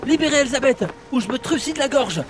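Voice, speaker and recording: Man doing a shrill panicky young sailor. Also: lively intonation, fast, noisy background. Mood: outraged. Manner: shrill and panicky, blurting out insults. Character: frantic young male sailor hero. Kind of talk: amateur parody audio drama.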